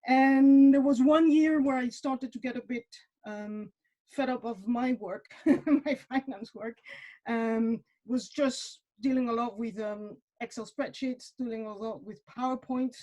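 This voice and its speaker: A woman's voice: fairly high pitch, a little slow, mellow, muffled recording. A woman in her forties from Mexico, talking casually as a webinar guest, with many fillers and repeated words. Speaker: webinar guest, wine industry professional.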